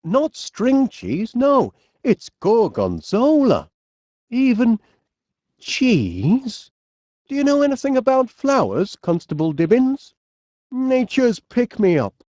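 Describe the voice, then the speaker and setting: A man voicing a genial, polite, eccentric middle-aged inventor with a Northern English accent in an animated comedy game. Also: very high-pitched, no room sound, clean studio sound, full character voice. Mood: genial, chatty. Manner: polite, quaint British turns of phrase, drawn-out words. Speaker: video game voice actor.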